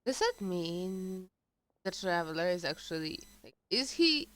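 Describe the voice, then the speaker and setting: A woman's voice: on the low side, sing-song, measured pace, a bit bright. A woman doing casual live-stream commentary, reacting to a video game as she plays.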